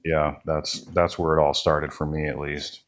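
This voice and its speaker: Male guest from California, very low American voice, chatting informally in an interview. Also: little pitch movement, normal speed, dull audio. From California, United States. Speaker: podcast guest, band musician. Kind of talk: casual anecdotal chat.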